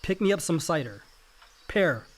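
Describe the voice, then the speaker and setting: A man's voice: a little high, lively intonation, measured pace, a little hiss or hum. A man acting an earnest, dutiful young adult son, the family peacemaker, in a naturalistic radio play. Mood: calm.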